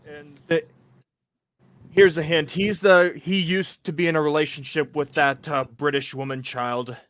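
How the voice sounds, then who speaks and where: A man's voice: a little high, fairly even intonation, fairly bright tone. A twenty-two-year-old man hosting a stream, rambling with fillers and restarts. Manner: rambling, halting, full of fillers. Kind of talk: casual stream chat.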